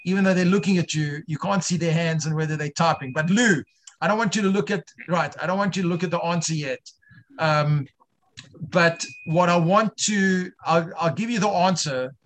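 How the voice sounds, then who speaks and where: A man teaching an informal online religious class, putting questions to his students in a halting way, often repeating himself. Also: fairly high pitch, a bit bright, rough recording.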